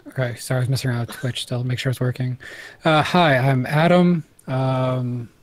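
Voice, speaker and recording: A man with an ordinary mid-pitched voice, chatting as a tabletop game player. He sounds a bit distracted and keeps apologizing as he sorts things out. It comes through an online voice call. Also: normal speed. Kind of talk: casual chat in a tabletop game.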